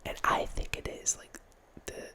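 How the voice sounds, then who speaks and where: A man in his thirties whispering a rambling ASMR talk as the host of his channel, often restarting with soft laughs, close to a studio mic in a small room at home. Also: measured pace. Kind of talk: whispered ASMR ramble about a horror movie. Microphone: large studio mic close in front, with headphones.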